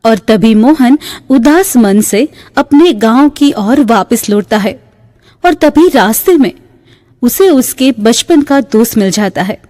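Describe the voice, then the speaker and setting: Female narrator for children's moral tales: mellow, very measured delivery, reverberant sound. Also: fairly high pitch, lively intonation. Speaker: story narrator. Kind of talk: slow scripted moral-story narration for a cartoon. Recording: long reverb.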